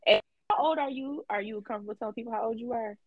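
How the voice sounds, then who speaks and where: Woman, mid pitch, podcast host, encouraging, muffled dry recording. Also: fast talker, dry recording. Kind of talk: casual interview conversation.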